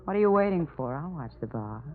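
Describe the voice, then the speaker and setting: Low, sly radio-noir dame. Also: female voice, very animated, fast talker. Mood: coy, knowing. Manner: cool, knowing, coy. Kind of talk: 1940s-50s radio noir acting. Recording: tinny, band-limited old radio recording.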